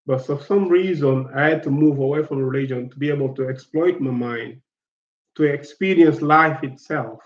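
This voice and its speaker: Guy from guinea-bissau, slow thoughtful chat, stops and restarts a lot, sounds kinda muffled and roomy. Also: a little high. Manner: hesitant and reflective, repeats words while searching for them. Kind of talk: casual chat.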